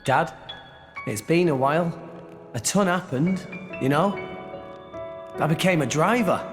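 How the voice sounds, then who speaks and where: A man's voice: fairly high pitch, unhurried, over music, close to an everyday voice. A man voicing an earnest, self-doubting teenage boy hero in a video game. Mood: wistful.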